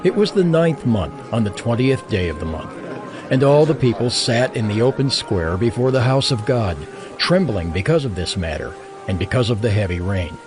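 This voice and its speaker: Solemn male narrator over soft music. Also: on the low side, animated, moderate pace. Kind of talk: scripted dramatized Bible narration.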